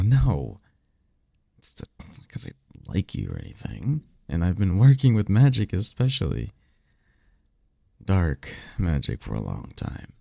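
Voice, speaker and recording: A man playing a guarded, secretive vampire in an ASMR roleplay, speaking in a halting, insistent, colloquial and sardonic way. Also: sits low, gravelly, warm tone, dry recording, studio quality, exaggerated voice. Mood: reluctantly caring. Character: guarded, secretive male vampire offering magical mentorship. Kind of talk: ASMR roleplay audio drama.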